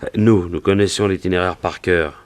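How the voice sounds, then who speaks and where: A man's voice: sits low, steady tone, moderate pace, natural voice. An actor playing a wary, plain-spoken cowboy and gold prospector in a French radio detective drama, telling his story in colloquial speech. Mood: explaining, recalling.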